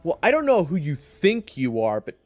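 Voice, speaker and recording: A man acts as a sarcastic adventuring leader in a fantasy audio play. He sounds casual and incredulous, with a lively, swinging pitch over quiet music. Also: moderate pace, no room sound, studio quality. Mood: incredulous, annoyed. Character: exasperated, sarcastic male adventurer leading a party. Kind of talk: fantasy audio roleplay acting.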